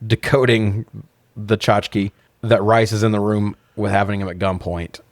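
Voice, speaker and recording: A man's voice: lowish voice, flat delivery, unhurried, no room sound. A man co-hosting a podcast, giving a casual, sardonic film recap with plenty of swearing. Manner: sardonic, profane. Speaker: podcast co-host.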